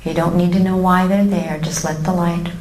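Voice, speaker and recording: A woman leading a guided meditation in a calm, gently instructive way with long pauses, recorded live in a room. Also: on the low side. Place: room with a seated live audience. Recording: roomy live recording with faint noise.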